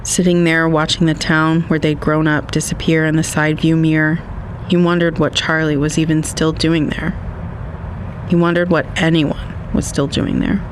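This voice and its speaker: A man reading scripted literary narration for an audio drama in a measured, wistful manner. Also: fairly high pitch, flat delivery, a little hiss or hum, low-quality recording.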